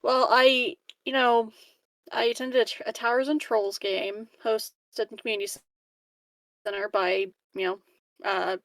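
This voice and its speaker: A woman acts out a female colony worker in a sci-fi tabletop game, with a creaky voice and a close, dry sound. She is candid and polite, choosing words hesitantly with some unease. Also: fairly high pitch, flat delivery, measured pace, fairly bright tone, no echo. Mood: uncomfortable, complaining. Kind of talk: improvised tabletop roleplay dialogue.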